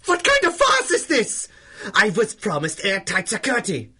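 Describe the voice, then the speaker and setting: A man's voice: high voice, huge pitch swings, moderate pace, bright, forceful tone, slightly rough, faint music behind, heavily acted. A man playing a pompous, indignant German scientist with a German accent in a pulp radio drama. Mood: outraged. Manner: indignant, bombastic. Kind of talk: 1930s pulp radio acting.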